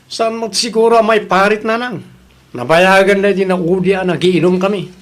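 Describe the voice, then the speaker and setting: A man's voice: sits high, moderate pace, natural voice. Guy acting a stubborn boozy husband in a family drama, brash, argumentative, won't be told. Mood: offhand, evasive. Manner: brash, defiant. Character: stubborn hard-drinking husband, defiant and quarrelsome. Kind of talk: Ilocano audio drama dialogue.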